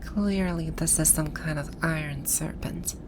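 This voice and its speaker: Woman playing an imperious, slow-spoken villainess boss. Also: deep voice, steady tone, noisy background. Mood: imperious, demanding. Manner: pompous, archaic, commanding phrasing. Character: haughty, bewildered video-game villainess boss, imperious and tsundere. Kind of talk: ASMR roleplay voice acting.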